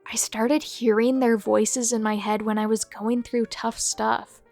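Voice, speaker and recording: Higher-voiced woman reading fan confessions. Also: level tone, measured pace, a little mellow, soft background music. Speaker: host reading viewer submissions.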